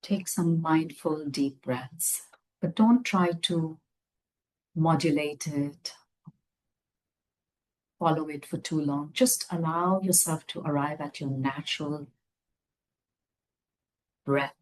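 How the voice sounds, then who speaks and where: Low, husky woman guiding meditation. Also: poor audio. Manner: gentle, unhurried phrases with long pauses. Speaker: meditation guide. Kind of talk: guided meditation, slow soothing instruction.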